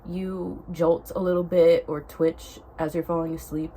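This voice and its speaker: A woman's voice: on the low side, flat delivery, unhurried, mellow, a little hiss or hum. A young woman vlogger, congested with a cold, chatting to camera in a rambling way that keeps correcting itself. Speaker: spiritual vlogger. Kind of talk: casual talk to camera.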